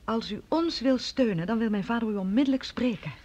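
An actress playing a professor's polite but persistent daughter in a formal radio drama. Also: female voice, a little high, expressive, brisk, darkish tone. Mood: earnest, insistent. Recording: dull vintage radio play recording, husky band.

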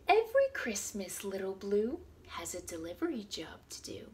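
Animated woman reading to young children. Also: medium pitch, background noise. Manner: animated, deliberate, talking to small children. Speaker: children's storytime host. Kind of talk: expressive picture-book storytime reading for young children. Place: small indoor room at home.

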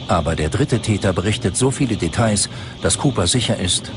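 A man reading scripted documentary narration in a measured, grave manner. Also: on the low side, lively intonation, background noise.

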